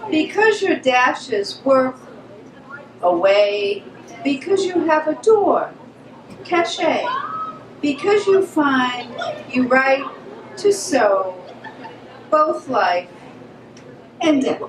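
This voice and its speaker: A woman reading poetry aloud at a live event, phrase by halting phrase. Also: sits high, animated. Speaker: poet. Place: pub room, live reading. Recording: echoey pub room, distant mic, faint noise.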